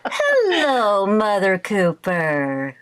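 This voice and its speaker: A woman playing an excitable, scheming housewife in a theatrical old-time radio comedy style. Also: mid-range voice, very slow, slight background noise, full character voice. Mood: sweet. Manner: theatrical, exclaiming. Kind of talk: 1940s radio comedy acting.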